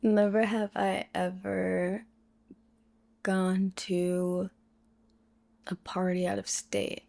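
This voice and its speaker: A woman with a breathy, whispery voice, improvising an ASMR roleplay as casual, teasing banter. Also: mid-range voice, level tone, drawn-out words, darkish tone. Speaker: ASMR roleplay performer playing sisters.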